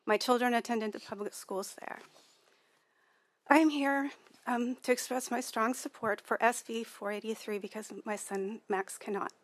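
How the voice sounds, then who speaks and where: A woman from California with a soft, quiet voice giving prepared testimony at a hearing. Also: fairly high pitch, steady tone, normal speed, no room sound. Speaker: witness at a hearing.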